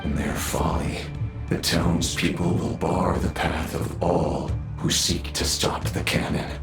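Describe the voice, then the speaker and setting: An actor voicing an arrogant, power-hungry undead villain in a video game, speaking theatrically with condescending pauses. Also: male voice, low-pitched, fairly even intonation, reverberant, music in the background. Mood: smug, scornful. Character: menacing undead male villain, arrogant sinner craving power.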